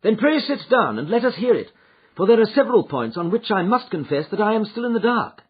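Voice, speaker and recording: Man voicing a brisk commanding detective, raised lively pitch, loud, husky, dull lo-fi audiobook. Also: full character voice. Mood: keen. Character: shrewd, energetic male detective.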